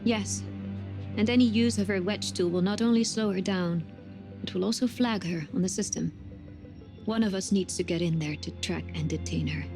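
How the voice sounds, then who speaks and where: A woman's voice: mid-range voice, moderate pace, natural voice. A woman playing a methodical, firm spaceship engineer in a sci-fi horror game, precise and matter-of-fact. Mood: methodical, focused. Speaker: voice actor in a video game. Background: music.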